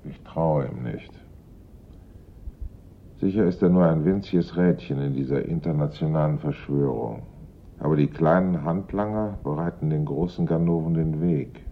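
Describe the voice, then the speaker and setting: Casting: dogged radio-play detective, rock-bottom pitch, grave and reasoning; muffled vintage recording with slight noise. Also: male voice. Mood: grave suspicion. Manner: deliberate, earnest, reasoning. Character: dogged male police investigator, grave, brooding, suspicious. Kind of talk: German radio drama acting. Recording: dull old radio recording, noisy rumble.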